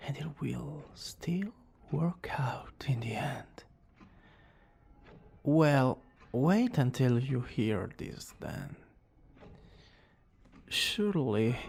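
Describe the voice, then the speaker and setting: Man acting a cocky, creaky-voiced young villain boyfriend. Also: mid-range voice, a little mellow, exaggerated voice. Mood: smug, coaxing. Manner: smug, theatrical, teasing. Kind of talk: romantic ASMR roleplay acting.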